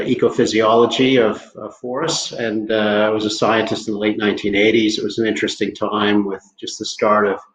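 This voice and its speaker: A Canadian man in his sixties introducing himself casually on a video call from home, relaxed with many fillers. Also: on the low side, slight background noise. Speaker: panelist. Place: home room at night, indoors. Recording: echoey, dull video call audio.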